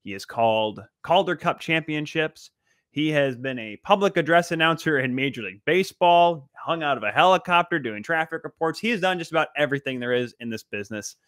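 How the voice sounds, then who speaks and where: A man's voice: a little high, animated, brisk, fairly bright tone, no echo, studio quality. A man hosting a sports podcast and interview in an emphatic, showy announcer-like manner. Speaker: podcast host.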